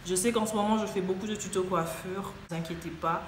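A woman's voice: medium pitch, fairly even intonation, fast, reverberant, a little hiss or hum. A young woman with a cold, chatting upbeat to her viewers in a hair tutorial. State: has a cold. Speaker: beauty vlogger. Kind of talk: casual hair tutorial talk to camera. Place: small room indoors.

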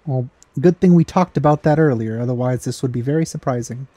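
A man's voice: medium pitch, brisk, dark tone, no room sound. A man giving casual, understated gameplay commentary as a let's player.

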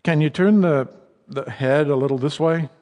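An actor playing a calm, practical farmer who speaks kindly but gives firm orders in a radio drama. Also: male voice, on the high side, moderate pace, natural voice. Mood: wary. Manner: kind but wary, commanding. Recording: reverberant radio drama recording.

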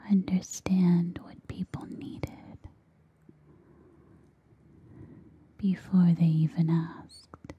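A woman playing a seductive, possessive forest witch in a soft, breathy ASMR roleplay, speaking soothingly and hypnotically up close to the microphone. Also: fairly low pitch, little pitch movement, dull audio, full character voice. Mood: coaxing, intimate. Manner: slow, soothing, hypnotic delivery with long pauses.

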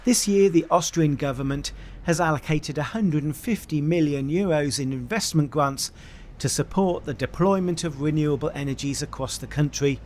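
A man reads a scripted documentary narration in an even, informative manner. His voice sits a little high for a man, and faint noise hangs under the recording. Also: normal speed.

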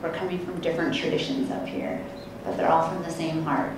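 Calm, flat-voiced woman moderator, echoey room. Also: low voice. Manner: calm, slow, gentle, hesitant with fillers. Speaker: panel moderator. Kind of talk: guided meditation and introduction. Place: conference room with a panel table. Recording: very echoey room, distant mic, hiss.

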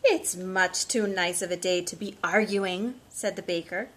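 A woman in her thirties reading and talking to young children in simple, expressive storytime phrasing, recorded on a phone at home. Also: lowish voice, unhurried. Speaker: children's storytime reader and teacher. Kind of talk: storytime read-aloud and talk to young children. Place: living room at home, indoors. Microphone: phone at about arm's length. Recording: noisy homemade phone recording.

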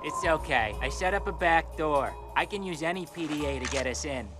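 A man's voice: a little high, expressive, a little fast, music in the background, close to an everyday voice. A man voicing a cocky, jittery young tech-geek field agent in a video game cutscene, talking in a chatty, theatrical way. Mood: confident, musing.

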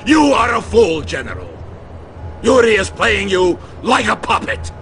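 An actor playing a hot-tempered, defiant middle-aged Soviet general. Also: male voice, high-pitched, lively intonation, a little slow, sharp tone, shouting, soft background music, full character voice. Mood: furious.

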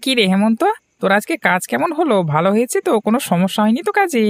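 Man voicing a fast-talking, creaky-voiced humble older brother. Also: very high-pitched, big pitch swings, dry recording, heavily acted. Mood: concerned. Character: humble hardworking older brother who sells street snacks. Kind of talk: cartoon moral story voice acting.

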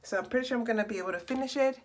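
A young woman, hoarse from a cold and slightly raspy, chatting in a rambling, self-correcting way while doing her makeup in a small bedroom. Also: a little high, very fast, slight background noise. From Texas. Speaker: beauty vlogger. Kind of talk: casual get-ready-with-me chat. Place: small bedroom in front of a door.

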